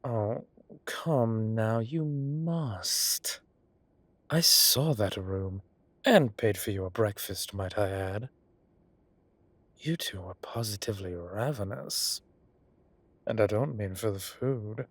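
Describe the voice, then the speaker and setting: A man playing a suave, gossip-loving gentleman boss in an intimate audio roleplay, arch and playfully dramatic, with breathy, drawling delivery. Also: fairly low pitch, takes it slowly, no room sound, exaggerated voice. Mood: playful prodding. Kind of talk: scripted intimate roleplay acting.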